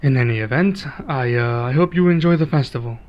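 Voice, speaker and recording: Man, medium-pitched voice, ASMR roleplay, laid-back werewolf character, measured conversational delivery, pauses. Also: highs cut off. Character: laid-back, reluctantly friendly male werewolf local. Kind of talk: ASMR roleplay acting, one-sided conversation.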